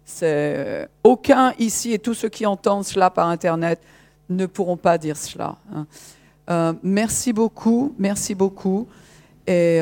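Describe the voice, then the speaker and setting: Woman moderator, lowish voice, polite, hesitant, many fillers. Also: darkish tone. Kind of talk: introducing a guest.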